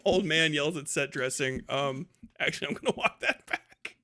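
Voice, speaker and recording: A man's voice: fairly high pitch, animated, moderate pace, pushed and bright. A man hosting a casual livestream commentary, swearing heavily in an exasperated, incredulous way. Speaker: livestream host.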